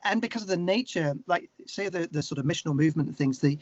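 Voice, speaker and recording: Man, English accent, slightly high pitch, lo-fi sound, candid, searching, conversational, interview guest. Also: fast talker, a little mellow, no room sound.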